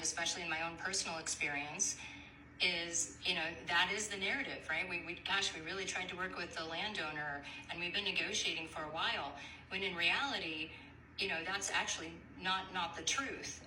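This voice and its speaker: Bright, forceful woman pressing her case. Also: on the low side. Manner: earnest, measured, pressing. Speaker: caller questioning a city official. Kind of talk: argumentative phone conversation. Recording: faint background noise on phone call recording.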